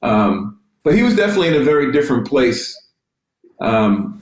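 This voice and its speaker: A man speaking as a panelist and music journalist, reflective and halting, often restarting his sentences. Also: medium pitch, sing-song, echoey room. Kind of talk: panel discussion.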